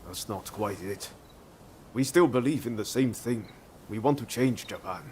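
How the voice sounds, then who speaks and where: An actor playing an earnest, reflective young samurai in a video game, thoughtful and sincere. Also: male voice, on the low side, moderate pace, darkish tone, a little hiss or hum, natural voice. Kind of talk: video game cutscene acting.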